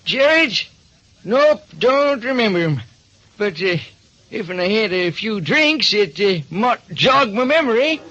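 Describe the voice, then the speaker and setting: A man's voice: high voice, big pitch swings, slow pace, sharp tone, voice pushed up, full character voice. A man voicing a crafty old American prospector with a folksy, cackling drawl in a radio detective drama. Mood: sly, reminiscing. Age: older. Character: crafty old Western prospector, shrewd and thirsty. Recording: dull recording with clear noise.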